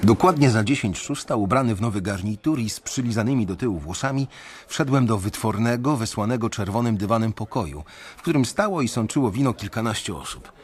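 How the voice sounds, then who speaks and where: An actor narrating as a young male bank analyst in a radio drama, measured and reflective. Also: lowish voice, expressive, slight background noise, natural voice.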